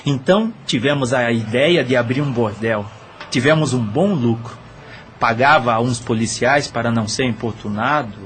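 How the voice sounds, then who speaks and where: A man's voice: mid-range voice, normal speed, a bit bright, close to an everyday voice. A man playing a murdered brothel owner and killer who confesses matter-of-factly in an audio drama. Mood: matter-of-fact confession. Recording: faint background noise.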